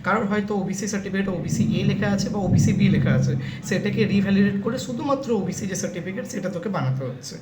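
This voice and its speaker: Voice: mid-range voice, animated, very fast. A young adult host with a Bengali accent, talking casually and directly to students in an insistent way, often checking that they follow. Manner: insistent, repeatedly checking with "okay?". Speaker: YouTube host giving exam counselling updates. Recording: echoey room with clear background noise.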